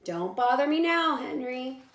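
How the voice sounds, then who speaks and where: A woman's voice: high-pitched, animated, a little slow. A woman voicing scornful, dismissive male pirate bunny crewmates, taunting in a children's storybook read-aloud. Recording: roomy echo.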